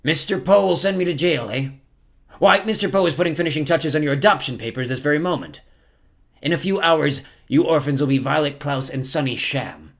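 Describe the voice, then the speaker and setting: A man's voice: fairly high pitch, a little fast, pitched above the performer's own voice, natural voice. A man voicing a menacing, sly one-eyed sea captain villain with a mocking, theatrical manner in a storybook reading. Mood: gloating.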